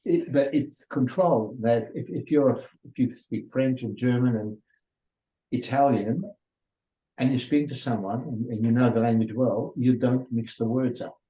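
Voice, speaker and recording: Older male lecturer, first language Hungarian; slow, restarting delivery, dull lo-fi recording. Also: medium pitch, warm tone. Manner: unhurried, with many restarts and fillers. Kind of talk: informal lecture.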